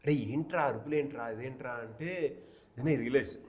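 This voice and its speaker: A man's voice: medium pitch, normal speed, darkish tone. A man, an actor, chatting, explaining things and slipping into English phrases. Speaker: actor being interviewed. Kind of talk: casual interview chat.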